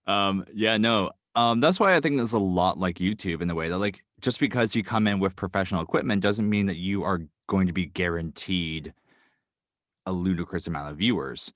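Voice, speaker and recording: A young man, a guest writer, speaking casually and quickly with many fillers like "um" and "like.". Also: sits low, fairly bright tone, dry recording, clean studio sound. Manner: quick, filler-heavy, thoughtful. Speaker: guest, audio drama writer. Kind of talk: casual interview talk.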